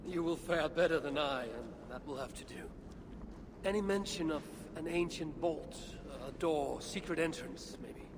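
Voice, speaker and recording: A proud, battle-hardened deity in a video game cutscene, speaks with wry menace and the habit of command. Clear ambient noise runs behind the voice. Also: female voice, low voice, measured pace.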